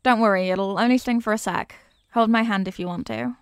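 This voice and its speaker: Woman playing a bubbly, high-voiced young apprentice witch. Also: brisk, gravelly, smooth, no echo, clean studio sound. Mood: soothing, gentle. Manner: bubbly, teasing, expressive, addressing the listener. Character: young eager, chatty, kindly apprentice witch. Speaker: ASMR roleplay voice performer. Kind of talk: ASMR character roleplay, scripted.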